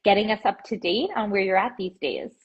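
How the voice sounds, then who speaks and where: A woman with a slightly husky, breathy voice hosts a relaxed interview, full of encouraging remarks and little restarts. Her pitch sits in a middle range for a woman. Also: a little fast, dull audio. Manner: warm, affirming, many fillers and restarts. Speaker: podcast host, dietitian. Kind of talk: casual interview chat. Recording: intro over music.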